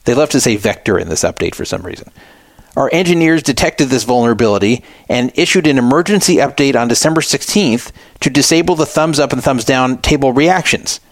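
A man's voice: medium pitch, expressive, fairly quick, dry recording. A man hosting a radio show, reading out and explaining things in a conversational, explanatory way. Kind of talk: talk radio commentary, reading out and explaining a statement.

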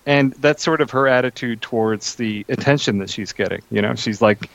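A man's voice: mid-range voice, moderate pace, vocal fry, dry recording. A man chatting casually as a commentator, explaining his point and checking in with rhetorical questions over a dull link. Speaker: co-host or guest commentator.

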